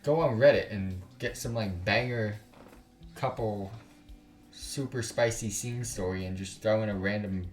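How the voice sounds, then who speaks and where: Low-voiced man streaming in a roomy space. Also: measured pace, faint music behind. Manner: blunt, casual, slangy. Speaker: game streamer, host. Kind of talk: let's play commentary mixed with reading game text aloud.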